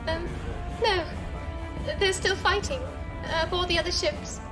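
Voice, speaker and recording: Nervous earnest young space officer, super high voice, slowish, music behind. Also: female voice, steady tone. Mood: anxious. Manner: hesitant, earnest. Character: anxious, loyal young female deck officer. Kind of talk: audio drama acting.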